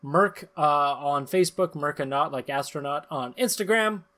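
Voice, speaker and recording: High, bright-voiced male podcast host. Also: animated, moderate pace. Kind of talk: comic banter and mock-dramatic narration. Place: small room at home, video call.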